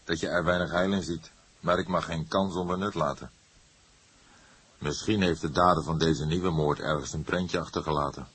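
Male reader as an older police detective, very low voice, husky, weary, somber, measured, crime audiobook. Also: fairly even intonation, fairly bright tone, full character voice. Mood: explaining. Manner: languid, measured.

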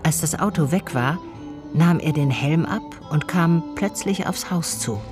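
A woman's voice: low voice, animated, a little slow, over loud music. An actress playing an observant female investigator who narrates a radio drama in a reflective, probing manner. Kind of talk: scripted first-person narration, radio drama.

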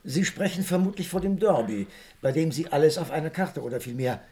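A man's voice: on the high side, expressive, brisk, warm tone, lightly acted. A man acting in a radio drama as an observant, polite doctor, companion to a detective. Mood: polite, pointed.

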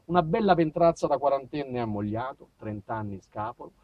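A man with a southern Italian accent performing an expressive, theatrical comic monologue as a storyteller. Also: mid-range voice, brisk, no room sound. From southern Italy. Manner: expressive, acts out dialogue, shifts between hushed and shouted. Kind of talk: theatrical comic monologue about small-town life. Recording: dull, distant sound with music.

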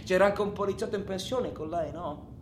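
A menacing criminal played by a male actor taunts his accomplice in a radio play. His voice is fairly high and theatrical, moving between forceful outbursts and hushed insinuation. The room rings with echo, and there is noise behind him. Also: brisk, close to an everyday voice. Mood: sardonic reminiscing. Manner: theatrical, swinging from excited shouts to hushed insinuation. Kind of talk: dramatic radio theatre monologue. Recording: echoey stage room with background noise.

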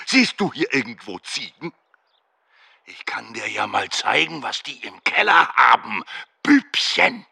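A sadistic wartime soldier in a radio drama. The voice is very bright and hard with a gravelly creak, and he drags out his taunts with sneering condescension. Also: mid-range voice, sing-song, measured pace, no room sound, heavily acted. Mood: menacing, mocking. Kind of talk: German radio drama acting.

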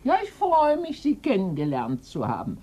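An actress playing an eccentric, proud old lady in a radio mystery, husky and theatrical, drawing out her words. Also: mid-range voice, wildly swooping pitch, normal speed, dark tone. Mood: nostalgic, grand. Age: older. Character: eccentric old lady, dreamy, proud former jungle traveller. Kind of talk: 1990s German radio mystery play.

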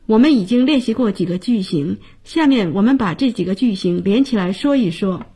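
A woman presenting a scripted radio language lesson, explaining and addressing listeners in a clear, instructive way, recorded on a studio mic. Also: medium pitch, expressive, a little fast, dark tone.

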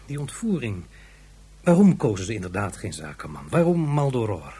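A man playing an earnest scientific official, formal and deliberate with some hesitation, in a science fiction radio play. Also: lowish voice, big pitch swings, dark tone, husky, heavily acted. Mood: indignant, puzzled. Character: earnest male scientific official reporting to superior.

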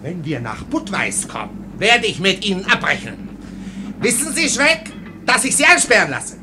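An actor playing an exasperated, hot-tempered army first lieutenant in a German radio play, barking orders and sputtering. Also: male voice, high-pitched, sing-song, moderate pace, background noise. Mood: furious, commanding.

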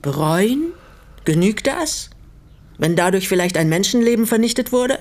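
Actress as composed, probing older woman, medium pitch. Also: lively intonation, darkish tone, lightly acted. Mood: pointed, bitter. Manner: measured, probing, pointed. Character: shrewd, composed elderly female hostess quietly seeking justice. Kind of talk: radio play acting.

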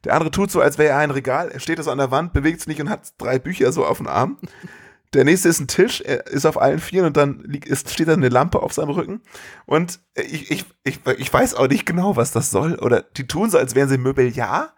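A man co-hosting a podcast, chatting casually about films in an animated, incredulous way full of colloquial exclamations. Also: fairly high pitch, a little fast, slightly rough. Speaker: podcast co-host. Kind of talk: casual film review chat.

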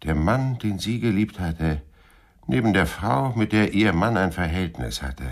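An actor playing a cool, persistent private detective in a German radio crime drama, narrating and questioning in a dry, laconic, husky voice. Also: male voice, sits low, expressive, a little slow. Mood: brooding, businesslike. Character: hard-boiled private detective narrating his own story, cool and persistent.

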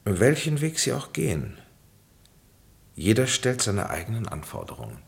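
Deep, creaky, solemn counselor. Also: male voice, wildly swooping pitch, exaggerated voice. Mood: grave, measured. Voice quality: low, creaky. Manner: measured, grave, oracular. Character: solemn, fatherly male spiritual guide and counselor, Henry. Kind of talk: German radio play acting.

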